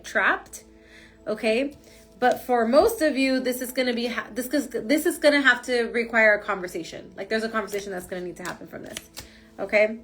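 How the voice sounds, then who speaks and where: A woman giving a casual, rambling tarot reading with lots of fillers, her voice stuffy from a cold. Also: fairly high pitch. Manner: chatty, rambling, frequent fillers. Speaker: tarot reader.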